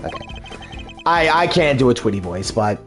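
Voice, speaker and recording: Jokey deep-voiced man streaming. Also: sing-song, normal speed, music in the background. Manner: jokey, laughing, rambling asides. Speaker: streamer and fan dubber. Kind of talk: casual live-stream chat.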